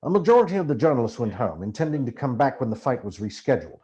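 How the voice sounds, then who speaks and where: A man narrates literary prose in the first person. His voice sits at an average pitch but moves very expressively, with theatrical phrasing throughout. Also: sing-song, quick pace, dark tone. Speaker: amateur audiobook reader.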